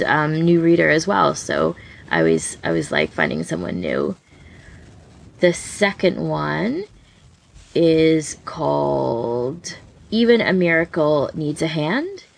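A woman with a deep voice and plenty of vocal fry talks casually, like a podcast co-host among friends. She recommends things in an informal way, restarting and rewording her thoughts as she goes. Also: big pitch swings, a little slow. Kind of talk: casual chat, giving recommendations.

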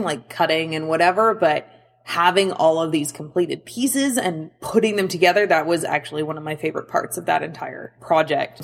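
A woman's voice: fairly low pitch, big-room sound. A woman giving casual recorded feedback to a podcast as a listener. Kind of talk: casual informal spoken feedback.

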